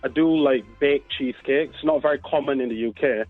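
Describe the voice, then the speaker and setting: A man's voice: mid-range voice, a little fast. A man with a Barbadian accent, chatting casually as an interview guest. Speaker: interview guest, dessert business owner. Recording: tinny phone-like line, faint music.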